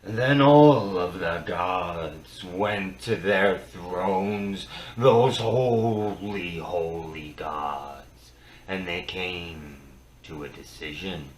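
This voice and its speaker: Very slow, deep-voiced man, dramatic reading. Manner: solemn and theatrical, with halting phrases and swings from hushed to booming. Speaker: storyteller reciting Norse myth. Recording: roomy, distant mic with faint noise.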